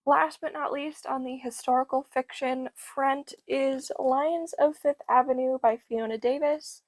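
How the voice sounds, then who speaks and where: A young woman, a bit hoarse from losing her voice, chatting enthusiastically about library books. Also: sits high, steady tone, measured pace, no echo, poor audio. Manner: enthusiastic, gushing. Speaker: book vlogger. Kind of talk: casual book haul chat.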